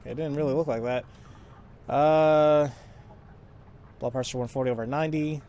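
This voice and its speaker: A man's voice: a little high, steady tone, moderate pace, dark tone. A man giving casual let's play commentary, thinking aloud in a rambling, self-deprecating way and mumbling through text he reads. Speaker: let's player.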